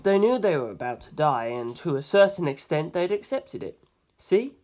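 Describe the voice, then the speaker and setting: A reader narrating a novel aloud in the first person, expressive and informal. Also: mid-range voice, moderate pace. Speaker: amateur audiobook reader.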